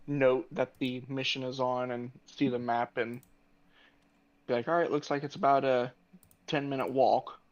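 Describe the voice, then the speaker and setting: A man with a middling pitch speaks casually as a player in a tabletop game. His delivery is conversational, as among fellow players. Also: steady tone, unhurried, highs cut off. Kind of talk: casual chat during an online tabletop roleplaying game.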